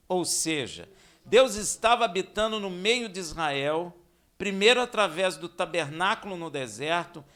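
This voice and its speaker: Animated, gravelly-voiced Brazilian male preacher. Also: high-pitched. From Minas Gerais, Brazil. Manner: conversational, rhetorical questions, dramatic emphasis and hushed asides. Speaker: congregation teacher and preacher. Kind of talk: expository sermon with scripture reading.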